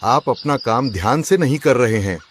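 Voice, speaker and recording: A man's voice: mid-range voice, animated, normal speed, slight background noise, close to an everyday voice. A man voicing an accusing, suspicious husband in a cartoon, speaking with emphasis. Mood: angry. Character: suspicious, aggrieved husband robbed of his chest. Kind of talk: Hindi cartoon voice acting.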